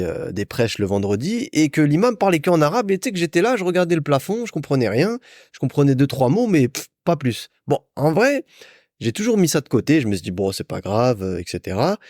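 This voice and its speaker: A man's voice: medium pitch, sing-song, brisk, a little mellow, no room sound, clean studio sound. A young man from France hosting a solo podcast, telling stories in a chatty, informal way as if to a friend. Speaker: podcast host. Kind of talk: casual solo podcast monologue, storytelling.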